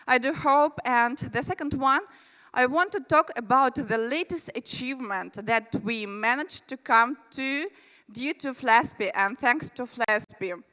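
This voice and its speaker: A woman's voice: high voice, measured pace, sharp tone. A woman from Russia with a Russian accent, giving a chatty conference presentation with friendly asides to the audience. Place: large conference hall. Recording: reverberant hall.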